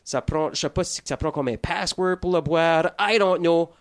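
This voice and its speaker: Animateur acadien à la voix plutôt haute. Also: male voice, on the high side, moderate pace. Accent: Acadian French. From rural Acadian New Brunswick, Canada. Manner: rambling, jokey, mixes English words into French. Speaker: podcast host. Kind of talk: casual, joking podcast chat.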